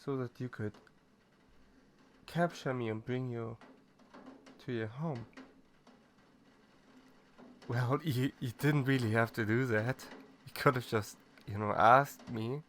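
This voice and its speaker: A shy, clueless catboy played by a man speaks in a mid-pitched male voice at a very slow pace. He is hesitant and stammers, bashful and eager to please, as in an intimate roleplay audio drama. Faint ambient noise sits behind the voice. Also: fairly bright tone. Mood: puzzled, accepting. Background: ambient noise, rain.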